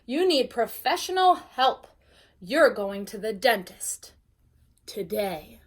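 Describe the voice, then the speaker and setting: A practical storybook mother , read by a woman in a fairly high voice. She is firm, brisk and matter-of-fact. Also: sing-song, exaggerated voice.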